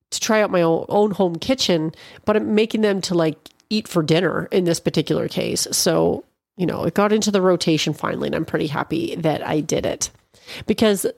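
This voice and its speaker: A woman's voice: on the low side, a little mellow, no room sound, studio quality. A middle-aged woman from Canada hosting a podcast on her own, talking in a chatty, rambling way and sounding a little congested and nasal. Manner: chatty and rambling, with frequent restarts. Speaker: podcast host. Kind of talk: casual solo podcast monologue.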